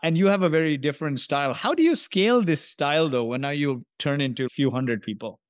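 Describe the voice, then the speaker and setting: A man hosting a podcast interview, affirming his guest warmly and building up long, enthusiastic questions. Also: a little high, animated, fast talker, no echo, clean studio sound. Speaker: podcast host.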